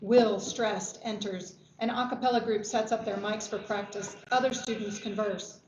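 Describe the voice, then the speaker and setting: A woman's voice: mid-range voice, fairly even intonation, moderate pace, a little mellow. Female stage-direction reader for a screenplay table read; roomy, slightly muffled recording. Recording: echoey, dull, faintly noisy room recording.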